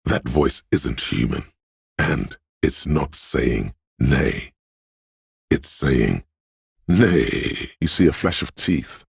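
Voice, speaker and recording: Game inner voice: dreamlike and foreboding, rock-bottom bass with heavy gravel, hushed and intimate, cryptic dramatic narration. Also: male voice, no room sound, studio quality, heavily acted. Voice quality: very deep, gravelly, husky. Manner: slow, hushed, ominous, cryptic second-person pronouncements with dramatic pauses. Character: eerie inner voice of intuition, dreamlike, brooding, intimate.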